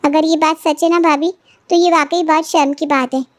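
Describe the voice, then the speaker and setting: Woman as high-pitched, aggrieved young daughter-in-law. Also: steady tone, normal speed, no echo, clean studio sound, close to an everyday voice. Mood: indignant. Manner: complaining, insistent. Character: aggrieved, indignant young daughter-in-law, eager to expose wrongs. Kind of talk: cartoon family moral story dialogue.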